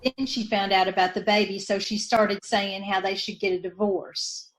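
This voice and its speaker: A woman's voice: mid-range voice, little pitch movement, moderate pace, natural voice. An actress playing a bitter, resentful poor woman shielding her sister, in an old-time radio drama, with folksy ungrammatical speech. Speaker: radio actor.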